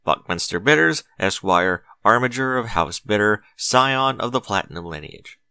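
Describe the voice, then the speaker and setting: A man performs a fretful unicorn gentleman from a storybook, stiff and precise in his wording. The pitch swings widely and the tone is bright and tense, in a dry recording. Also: animated, normal speed, clear, resonant tone, no echo. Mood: formal. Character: anxious, stiffly formal male unicorn letter-writer. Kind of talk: storybook character reading a letter.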